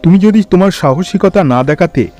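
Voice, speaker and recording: A man's voice: high voice, expressive, normal speed, a little mellow, faint music behind. A man voicing a formal, earnest king in a fairy-tale cartoon. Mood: grateful. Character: grateful, questioning adult male king.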